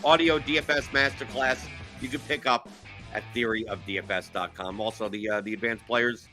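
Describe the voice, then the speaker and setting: Rambling forties man with a bright voice. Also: fairly low pitch, steady tone, a little fast, soft background music. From New York. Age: 40s. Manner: rambling, self-mocking, lots of fillers and restarts. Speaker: show host. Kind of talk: casual chat.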